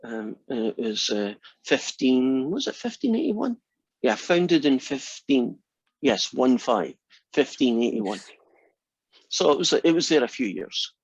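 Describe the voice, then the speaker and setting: A man's voice: mid-range voice, sing-song, slow, husky, no room sound. An older Scottish man in his sixties, a math instructor opening his class in a joking, teasing way. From Scotland. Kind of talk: class introduction. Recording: slightly dull lo-fi sound.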